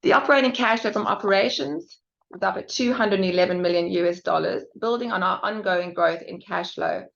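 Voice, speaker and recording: A woman's voice: mid-range voice, a little fast, vocal fry, a bit bright. A woman presenting financial results as an executive, reading figures formally and carefully with small stumbles. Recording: dull, band-limited conference call audio.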